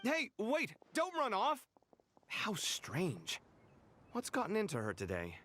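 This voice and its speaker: A man voicing a principled, cultured young merchant lord in a video game, articulate and expressive. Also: sits high, slow pace, heavily acted. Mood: curious, concerned.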